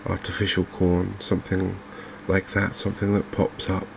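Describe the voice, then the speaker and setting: Softly spoken, flat-toned low English man. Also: vocal fry. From England. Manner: unhurried, hesitant, gently musing. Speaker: gaming video host. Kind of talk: softly spoken ASMR game commentary. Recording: faint background noise from open windows and fans.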